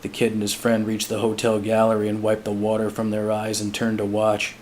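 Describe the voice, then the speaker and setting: A man giving a dramatic reading of literary fiction in a measured, solemn storytelling manner. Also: fairly low pitch, completely flat delivery, darkish tone, slight background noise. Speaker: amateur audiobook reader.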